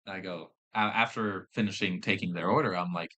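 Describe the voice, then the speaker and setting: Young southern dude co-hosting a podcast, laughs and swears a lot, bright tone. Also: male voice, sits low, a little fast. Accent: American Southern. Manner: animated, swears often, laughs a lot, acts out quotes. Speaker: podcast co-host; fast-food worker. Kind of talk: casual chat, storytelling.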